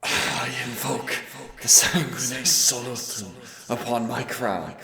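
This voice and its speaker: A man playing a proud, teasing demon of royal blood in an audio roleplay, with a gravelly, husky, intimate and theatrical delivery. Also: slow pace, crowd noise. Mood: furious, grieving. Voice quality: gravelly, husky, breathy low voice. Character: teasing male demon roommate, winged, proud royal, protective and wounded. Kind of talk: audio roleplay acting addressed to the listener.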